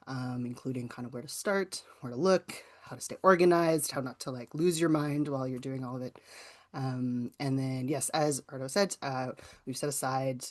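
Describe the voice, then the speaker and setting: Conversational Canadian workshop teacher, middle-pitched voice. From rural southwestern Ontario, Canada. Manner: conversational, explanatory. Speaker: writing teacher and author giving a workshop. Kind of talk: informal webinar presentation.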